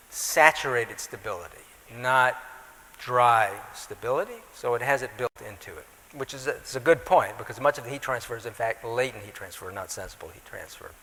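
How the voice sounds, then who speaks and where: Bright-voiced man explaining physics in a hall. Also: mid-range voice. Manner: measured technical explanations, checks in with "right?". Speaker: atmospheric physicist answering audience questions. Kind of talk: technical lecture Q&A. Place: lecture hall.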